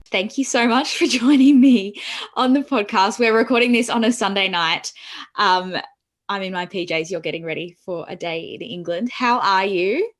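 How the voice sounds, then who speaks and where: A woman's voice: mid-range voice, moderate pace. A woman hosting a podcast, introducing her guest and asking questions in a casual chat. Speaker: podcast host.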